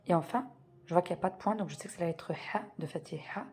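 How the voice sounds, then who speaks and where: A woman's voice: lowish voice, fast talker, darkish tone. A woman teaching a beginners' reading lesson, patiently spelling out sounds step by step and repeating key words. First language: French.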